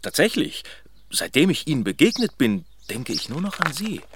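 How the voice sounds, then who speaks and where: An actor playing a flirtatious, unsettlingly attentive man who teases in a smooth, sing-song way, in a radio drama. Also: sing-song, normal speed, background noise. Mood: flirting. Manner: smooth, teasing, swings pitch widely.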